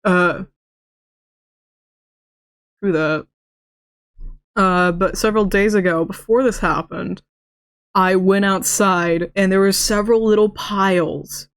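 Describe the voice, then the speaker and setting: A woman streamer with a slight lisp talks casually and at length, her voice sitting at an ordinary middle pitch. She stumbles and repeats words as she goes, restarting them mid-sentence, and she often breaks into laughter. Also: takes it slowly, pauses often, smooth. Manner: chatty, rambling, laughs often. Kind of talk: casual live-stream chat.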